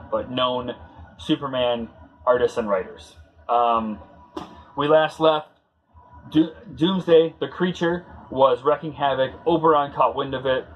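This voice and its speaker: A man hosting a casual read-along commentary, talking in a halting, conversational way as he searches for words. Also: medium pitch, low-quality recording. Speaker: host.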